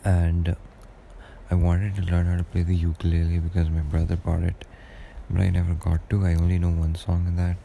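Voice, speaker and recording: A young man with a deep voice, rambling through a casual solo podcast monologue with lots of hesitations. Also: monotone, normal speed, vocal fry, mellow, a little hiss or hum. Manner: rambling and hesitant, many fillers and restarts. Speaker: podcast host.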